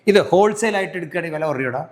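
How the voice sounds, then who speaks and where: A man's voice: on the high side, expressive, very fast. A middle-aged man hosting a casual promotional interview, chatty and prompting his guest with tag questions. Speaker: host interviewing a shop seller.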